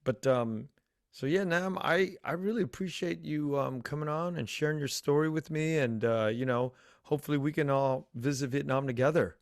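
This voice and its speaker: An American man hosting a podcast, chatting in a relaxed, friendly way with lots of fillers and restarts. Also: a little high, moderate pace, dry recording, clean studio sound. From United States. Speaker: podcast host. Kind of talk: casual interview chat.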